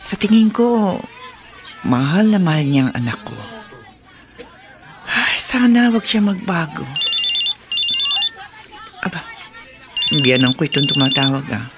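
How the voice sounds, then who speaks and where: A woman acting a caring, knowing middle-aged widowed mother in a Filipino radio drama, gently teasing and motherly. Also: sits low, very animated, measured pace, long pauses, heavy background noise. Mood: warm, pleased.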